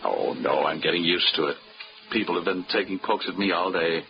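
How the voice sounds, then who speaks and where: A man's voice: fairly quick, creaky. An actor playing a breezy, flirtatious male insurance investigator in a 1940s radio drama who talks in easy wisecracks. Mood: wry. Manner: wisecracking, easygoing drawl with lively pitch. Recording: dull vintage radio broadcast with music.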